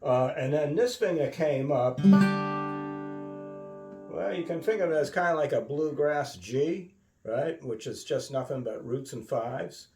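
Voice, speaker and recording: Man, guitar teacher, musing and halting, informal tutorial, distant roomy sound, loud guitar behind. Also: a little high. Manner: musing, halting, often checks with "right?". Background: acoustic or electric guitar playing.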